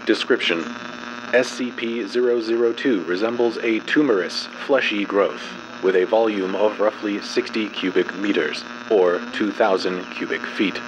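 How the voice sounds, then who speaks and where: A male narrator gives a dramatic scripted reading in a fairly low voice. His delivery is formal, measured and clinical, like an official horror log. A clear electrical hum with radio-like static sits under the voice.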